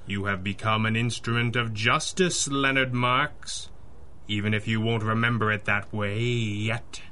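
Masked pulp hero man, formal n commanding, bright forceful voice, radio play. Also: lowish voice, steady tone, measured pace, a little hiss or hum. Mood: solemn, hypnotic. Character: commanding, solemn masked male crime fighter. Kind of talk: 1930s-style radio drama acting.

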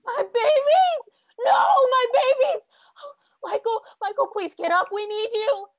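A terrified frontier wife and mother in a radio drama. Her voice is very high and slow, wailing and pleading in raw anguish. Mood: screaming grief.

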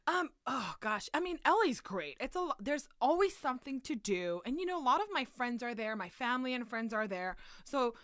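A woman in her thirties with a high, very bright voice talks casually as a podcast guest. She is chatty and tells her stories with little quoted asides. The sound is close and dry. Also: very animated, brisk, hardly any pauses, no room sound. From South Korea and Los Angeles area. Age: 30s. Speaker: podcast guest, museum educator. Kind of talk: casual interview chat.

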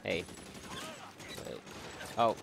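A man's voice: drawn-out words, noisy background. A man giving casual, rambling and sarcastic let's play commentary, swearing freely as he goes. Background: video game ambience.

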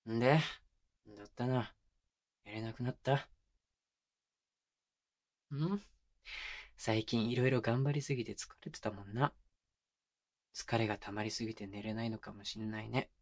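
A man's voice: steady tone, normal speed, long pauses, no room sound. A man playing a teasing, doting young boyfriend in an intimate ASMR roleplay, talking casually and playfully with bits of baby talk, close to a binaural mic. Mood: teasing, tender. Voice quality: mid-low voice. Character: teasing, doting young boyfriend babying his exhausted, clingy girlfriend. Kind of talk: intimate ASMR roleplay drama, addressed to the listener. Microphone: close binaural microphone.

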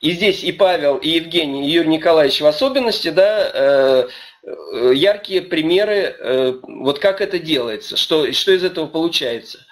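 A man's voice: fairly high pitch, lively intonation, moderate pace. A man hosting a webinar over a video call, summing up the discussion in a hesitant way, with frequent "da" and restarts. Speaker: webinar host and moderator. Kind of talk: moderating a webinar.

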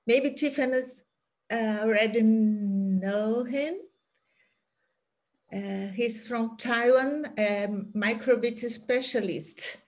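A woman hosting an online community meeting, welcoming guests slowly with many fillers. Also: on the high side, darkish tone. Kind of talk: hosting a video meetup. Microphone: laptop mic on a video call.

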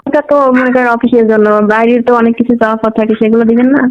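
A woman's voice: a little high, flat delivery, rapid-fire. A woman with a Bangladeshi accent chats casually and intimately on a phone call.

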